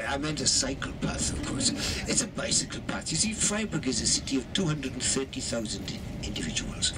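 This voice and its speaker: Welsh tour guide over loud bus noise. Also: male voice, mid-range voice, distant mic. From Wales. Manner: chatty, pointing out sights, frequent ums and restarts. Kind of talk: live bus tour commentary. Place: moving coach bus. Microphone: PA mic heard from a passenger's camera. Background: loud road and engine noise.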